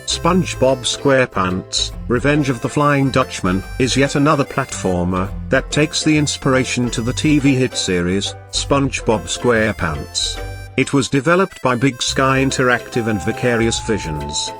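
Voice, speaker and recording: A man's voice: medium pitch, animated, moderate pace, fairly bright tone. A young man giving a casual game review voice-over, chatty and enthusiastic with joking asides. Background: game music playing.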